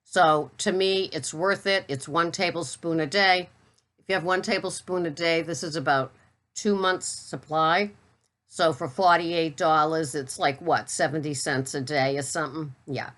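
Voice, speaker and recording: A chatty older woman lays on a thick Boston accent for fun, as the vlogger puts it on. Her voice sits low, and she rattles through her products in a playful show-and-tell. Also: unhurried, a bit bright. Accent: Boston, put on for fun. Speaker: keto vlogger.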